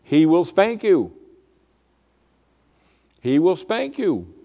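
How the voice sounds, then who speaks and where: A man with a booming voice teaching as a pastor in an expository sermon style, deliberate and emphatic, often repeating phrases for weight. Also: very animated, creaky, warm tone. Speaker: pastor teaching a Bible study.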